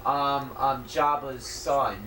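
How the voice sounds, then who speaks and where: A man's voice: mid-range voice, fairly even intonation, slow, bright, forceful tone. A young man co-hosting a casual movie commentary, joking and hesitant, often stumbling over his words. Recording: distant mic with clear background noise.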